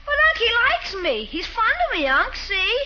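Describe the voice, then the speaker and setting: Shrill, whiny schoolboy. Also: female voice, sing-song, moderate pace, sharp tone, full character voice. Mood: pleading. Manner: whiny, wheedling, slangy. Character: eager, stubborn schoolboy nephew, whiny and cheeky. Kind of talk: 1940s radio comedy acting. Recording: dull, noisy 1940s radio recording.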